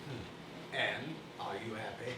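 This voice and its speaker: An actor playing a gentle, doting older Victorian father, a humble toymaker, mild and hesitant in a stage play. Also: fairly low pitch, slow pace. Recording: echoey distant stage recording, noisy.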